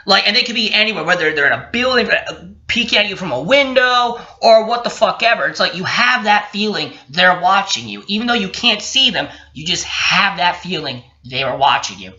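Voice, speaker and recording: A man's voice: high-pitched, lively intonation, brisk, pushed and bright. A man giving a casual, rant-like commentary as a vlogger, swearing often and sounding indignant and sarcastic. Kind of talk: casual rant-like commentary while reading a report. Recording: dull lo-fi sound.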